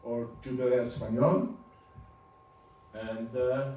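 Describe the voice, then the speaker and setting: A man in his seventies, a native Ladino speaker from Turkey, giving an informal talk over slides, halting and restarting with small chuckles. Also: mid-range voice, level tone, warm tone. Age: 70s. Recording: roomy, distant, faint hum.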